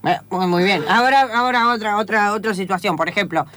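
A woman playing a pretentious, absurd male Argentine acting teacher who speaks haltingly in an improvised comic radio play. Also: mid-range voice, a bit bright, close to an everyday voice. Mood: pompous, halting. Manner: halting, self-important.

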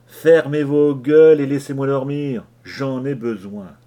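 A man voicing an angry young sailor who shouts at his shipmates with scorn, in an audiobook reading. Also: on the low side, slow, breathy edge. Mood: angry, scornful.

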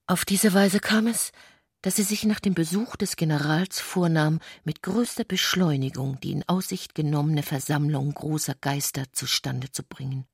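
A woman narrating a literary radio play in a measured, precise manner. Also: lowish voice, a little mellow, breathy edge, dry recording, studio quality.

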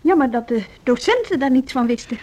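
A principled, strong-willed school deputy in a radio drama. Her voice sits a little high, and she speaks Netherlands Dutch in a formal, articulate, pointed way. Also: lively intonation, a little fast, a little mellow. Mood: pointed, resolute. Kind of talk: Dutch radio play dialogue.

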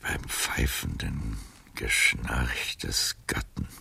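An actor playing a frail, wry old man in a radio drama, muttering to himself in a gravelly, breathy whisper as he reads aloud. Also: extremely low pitch, lively intonation, takes it slowly, pushed and bright, slight background noise, heavily acted. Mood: musing. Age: older.

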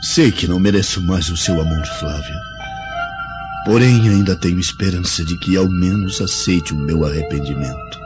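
A man's voice: sits low, normal speed, close to an everyday voice. An actor with a Brazilian accent playing an ardent, repentant Roman nobleman in a radio drama, earnest and romantic. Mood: pleading. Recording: dull lo-fi audio, music clearly behind.

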